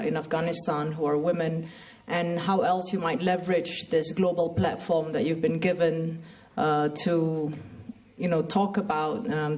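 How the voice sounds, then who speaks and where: A man's voice: fairly high pitch, a little mellow. A man from Malaysia politely putting a question as an audience member at a public forum.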